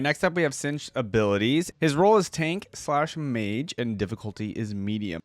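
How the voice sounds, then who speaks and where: A man's voice: lowish voice, no echo. A man hosting a reaction video, speaking in an informal, chatty way as he comments and reads along. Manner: informal, chatty, with exclamations and questions. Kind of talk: casual reaction commentary with some reading aloud.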